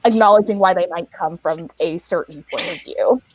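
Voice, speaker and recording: A woman's voice: lowish voice, moderate pace, low-quality recording. A woman on a casual panel, chatting and often laughing, with plenty of fillers.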